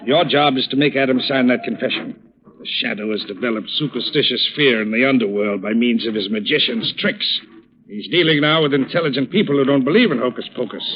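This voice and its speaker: An actor playing a cold, scornful criminal schemer, bossy and articulate, in an old-time radio drama. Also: male voice, medium pitch, brisk, lightly acted. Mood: scornful, confident. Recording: dull, muffled old radio recording.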